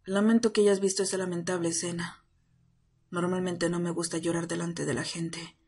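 A breathy, husky young male half-vampire as a performer acts him confides in the listener. He is miserable, tearful and lovesick, in an intimate ASMR roleplay with little swing in pitch. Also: level tone, normal speed, a little mellow. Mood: sheepish, subdued. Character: miserable, self-pitying half-vampire young man in love.

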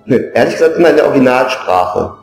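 A man's voice: mid-range voice, animated, fast talker, faint music behind. Wry man from East Germany, roomy sound. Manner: wry, joking. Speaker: interviewee. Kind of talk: answering a survey question.

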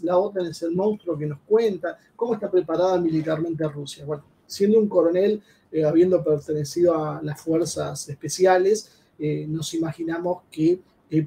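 A man co-hosting an interview, explaining the topics to viewers with many pauses and fillers. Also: high-pitched, warm tone, recorded from a distance, slight background noise, poor audio. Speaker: co-host interviewer. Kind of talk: interview introduction. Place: home room.

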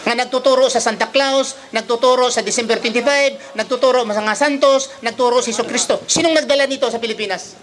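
A man's voice: very high voice, fairly even intonation, fast talker, hardly any pauses, a bit bright. A man with a Filipino accent speaks as a religious teacher. He preaches informally and emphatically, repeating himself and putting rhetorical questions to his listener. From Philippines.

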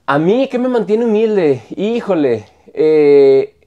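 A Mexican man in his thirties talks in loose podcast banter. His voice sits a little high, and he keeps restarting words and laughing between them. It is close and clean through a lapel mic in a small studio. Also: animated, slow pace, dark tone, highs cut off. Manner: stumbles over words, repeats and laughs often. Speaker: podcast co-host. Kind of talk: casual chat. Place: small studio with brick-wall set. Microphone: clip-on lapel mic.

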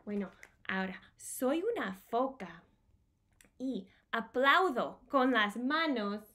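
A woman hosts a storytime for small children at home. Her voice sits fairly high and she speaks very slowly. She repeats simple phrases in an animated, teacherly way, recorded on a device mic in a small room. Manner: animated, simple repeated phrases, switches between Spanish and English. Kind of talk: singing and reading aloud to young children.

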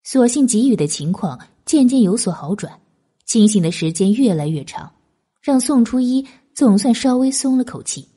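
Lively, higher-pitched woman narrating a novel. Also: normal speed, slightly rough. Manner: expressive, literary storytelling. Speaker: audiobook narrator. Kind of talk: scripted novel narration, dramatic historical storytelling.

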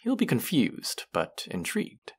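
A man's voice: medium pitch, wildly swooping pitch, measured pace, husky, heavily acted. A young man acting a condescending, smug college student in a scripted audio roleplay, haughty and sardonic with a drawl. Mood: aloof, advising. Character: condescending, smug young male college rich-club hopeful. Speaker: voice actor.

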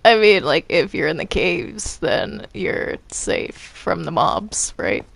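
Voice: mid-range voice, very animated, slow, creaky, fairly bright tone, dry recording. A video game player chatting casually during play, hesitant and stammering over repeated words. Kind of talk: casual gaming chat while playing Minecraft roleplay.

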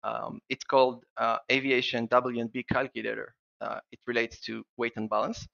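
A man's voice: mid-range voice, level tone, moderate pace, creaky, bright, forceful tone, lo-fi sound. A man from Israel with an Israeli accent, a guest on a podcast interview, talking eagerly with many restarts and fillers. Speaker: guest plugin developer.

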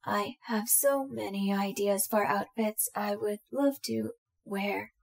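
A woman playing an affectionate, shy young female companion droid in a roleplay audio drama, speaking softly and sweetly to the listener. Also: mid-range voice, slow pace, creaky. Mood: affectionate, eager.

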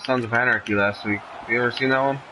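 A man's voice: medium pitch, fairly even intonation, quick pace, sharp tone, slight background noise. A man chatting casually with a friend while hosting a video game stream. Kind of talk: casual chat while gaming.

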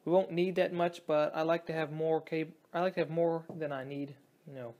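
A young man giving a casual hands-on demonstration as a hobbyist, talking in a halting, think-aloud way. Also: a little high, steady tone, darkish tone, highs cut off. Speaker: hobbyist presenter. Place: indoors at a tabletop.

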